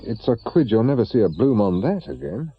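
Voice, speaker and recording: A man's voice: medium pitch, very animated, a little fast, no echo. A man playing a wry, bitter middle-aged English private investigator, once a policeman, with a dry, grumbling, colloquial way of talking in a radio drama. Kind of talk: naturalistic British radio drama acting. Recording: muffled radio recording with clear background noise.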